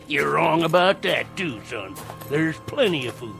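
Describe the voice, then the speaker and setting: A man's voice: a little high, animated, slow, vocal fry, a bit bright, faint music behind, full character voice. An actor playing a sly, boastful old country man with a folksy Southern American drawl in an audio drama. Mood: sly, teasing. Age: older. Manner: folksy drawl, cackling, taunting. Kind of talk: old-time radio-style drama acting.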